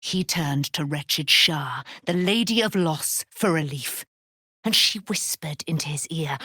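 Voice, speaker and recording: A proud noble warrior lady from a fantasy game, super slow grand old-timey speech, kinda low voice. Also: no room sound, studio quality. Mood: bitter, solemn. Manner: theatrical, archaic, declamatory speech. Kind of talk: dramatic fantasy video game voice acting.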